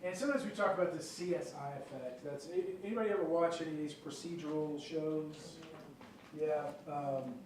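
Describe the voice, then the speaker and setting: A man's voice: on the high side, moderate pace. A man explaining trial rules to a jury panel in a conversational way, often checking in with "okay?" and "right?". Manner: conversational, many fillers and check-ins like "okay?". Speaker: prosecutor questioning jury panel. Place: large courtroom. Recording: echoey courtroom picked up from far off, light noise.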